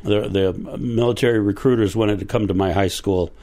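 A man's voice: lowish voice, fairly even intonation, moderate pace, warm tone. A man in his sixties with an American accent, talking casually in an interview. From Milwaukee, United States. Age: 60s. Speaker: pirate radio operator being interviewed.

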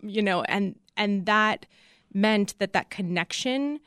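A woman's voice: medium pitch, slow pace, fairly bright tone, clean tone, no room sound, clean studio sound. A woman answering interview questions as a guest, speaking casually and conversationally about her creative work. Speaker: interview guest, casting director.

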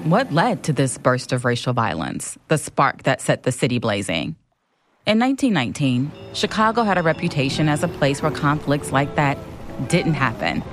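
A woman's voice: low voice, very animated, normal speed. A woman reading scripted docudrama narration as the guide. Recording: music bed behind.

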